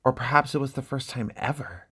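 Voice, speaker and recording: A man's voice: mid-range voice, fairly quick, bright, forceful tone, husky. A man narrating a fantasy graphic novel as a scripted dramatic reading. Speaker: amateur narrator, video creator.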